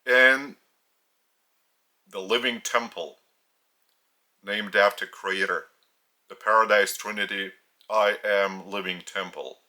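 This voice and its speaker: A man from Lithuania with a Lithuanian accent, a spiritual teacher speaking in short, halting phrases, his native language being Lithuanian. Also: lowish voice, steady tone, pushed and bright. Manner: halting, phrase-by-phrase delivery with pauses. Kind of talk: slow spiritual teaching talk.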